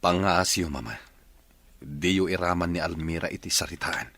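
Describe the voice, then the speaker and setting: A man's voice: low voice, steady tone, normal speed, bright, forceful tone, exaggerated voice. An actor with an Ilocano accent playing a stressed grown son in a radio drama who insists and pleads with his mother. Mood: insistent.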